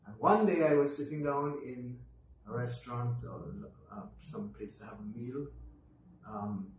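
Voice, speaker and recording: A man in his eighties with a Barbadian accent, telling stories of his life as a guest in an unhurried way, often pausing with ums and restarting. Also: mid-range voice. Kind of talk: reminiscing storytelling in conversation. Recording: roomy echo, distant mic, faint noise.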